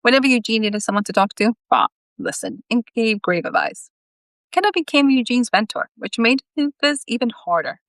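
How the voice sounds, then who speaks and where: A woman's voice: a little high, animated, normal speed, fairly bright tone, no echo. A woman reading a story aloud as its author, in a live reading style with casual asides.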